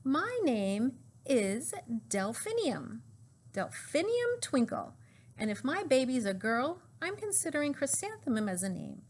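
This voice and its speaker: A woman voicing a kindly, dreamy female music teacher from a children's storybook, with measured, gently emphatic delivery. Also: high voice, sing-song, voice pushed up, exaggerated voice. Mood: gently proud.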